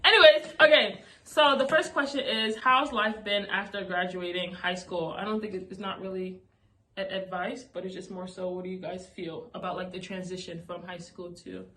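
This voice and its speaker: A woman's voice: lowish voice, fairly even intonation, moderate pace, pushed and bright, rough recording. A young woman hosting a casual Q&A with friends, bubbly and giggly, with exclamations. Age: young adult. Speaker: vlogger hosting a Q&A.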